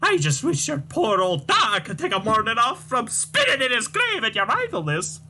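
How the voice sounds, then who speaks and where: A man reading a comic aloud, voicing a nagging old mother in a high, quavering, scolding voice. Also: wildly swooping pitch, moderate pace, bright, forceful tone, a little hiss or hum, exaggerated voice.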